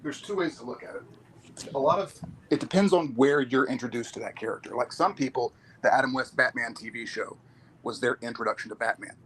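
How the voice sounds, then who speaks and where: A man with a mid-range voice speaks as a guest on a relaxed talk show. He is opinionated and lays out his views in long, explanatory turns. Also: mid-range voice, a little fast, slight background noise, rough recording. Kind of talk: casual chat.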